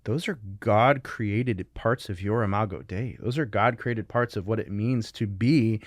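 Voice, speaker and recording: Guy with a lowish voice talking thoughtfully on a faith podcast, really dry sound. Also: measured pace, no room sound. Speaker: podcast co-host, recovery coach.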